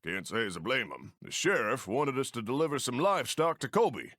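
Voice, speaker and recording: An actor playing a friendly Old West steam train engineer in a video game, with an American accent and folksy frontier phrasing. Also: male voice, mid-range voice, very animated, brisk, a bit bright, no echo, studio quality. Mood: helpful, earnest. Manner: folksy, colloquial drawl of the frontier. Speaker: mission-giver game character. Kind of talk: animated video game voice acting.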